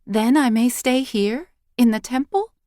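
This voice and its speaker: A woman voicing an eager, curious young girl who asks questions excitedly in an audiobook story. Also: a little high, a little slow, no room sound, clean studio sound, voice pushed up, natural voice.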